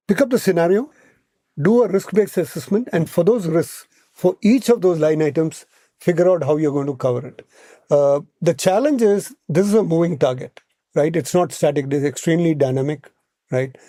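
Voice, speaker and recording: A man's voice: on the high side, mellow, dry recording, clean studio sound. A man with an Indian accent speaking as an expert podcast guest, explaining point by point in conversation and often checking in with "right?".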